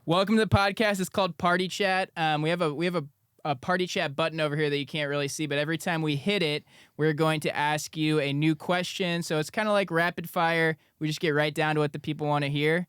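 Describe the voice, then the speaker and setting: Upbeat young man hosting, smooth bright voice. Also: on the high side, fairly even intonation, no echo, studio quality. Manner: upbeat, eager, quick asides. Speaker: podcast co-host. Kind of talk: casual podcast interviewing.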